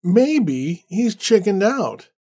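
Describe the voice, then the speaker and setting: A man's voice: mid-range voice, lively intonation, slow, creaky, darkish tone, heavily acted. A man playing a scornful, sarcastic man who sneers at everything. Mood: mocking. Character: scornful sardonic man, mocking and brash.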